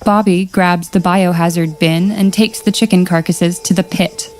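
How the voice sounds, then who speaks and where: A woman's voice: fairly low pitch, fairly even intonation, normal speed, darkish tone, a little hiss or hum. A woman reading stage directions as scripted narration for an audio drama.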